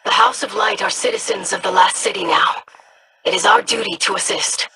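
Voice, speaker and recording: A woman's voice: mid-range voice, normal speed, bright, forceful tone, a little hiss or hum. A woman voicing a proud young noblewoman in a video game, formal and cutting. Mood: formal, stern.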